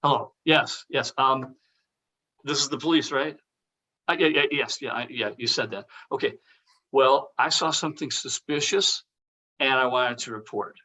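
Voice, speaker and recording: An actor plays an evasive, jittery man in a table read. His bright, forward voice sits at a middle pitch. He stammers, restarts and trails off awkwardly. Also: moderate pace. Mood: nervous, apologetic.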